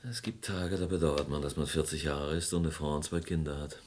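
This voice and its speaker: A man's voice: extremely low pitch, fairly even intonation, a little fast. An actor playing a polite, gallant and evasive police inspector in his forties, in a German radio crime drama. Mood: gallant, wistful. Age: 40s. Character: courteous, evasive forty-year-old police inspector.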